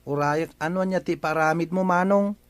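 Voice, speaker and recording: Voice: mid-range voice, fairly quick, clear, resonant tone, dry recording, natural voice. Village boy for radio drama, acted: young, earnest and respectful, Ilocano accent, very dry studio sound. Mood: respectful, eager. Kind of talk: comedy radio drama acting.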